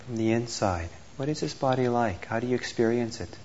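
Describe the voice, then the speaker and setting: A man teaching meditation, gently and unhurriedly guiding a group with pauses between instructions. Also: medium pitch. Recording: dull lo-fi audio with clear background noise.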